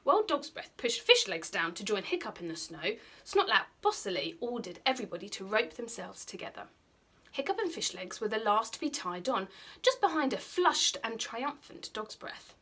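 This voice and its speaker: A British woman with a slightly high voice reads a children's story aloud. She sounds like a primary teacher, expressive and keen to explain, with a slightly husky edge. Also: moderate pace. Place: small bright indoor room by a window.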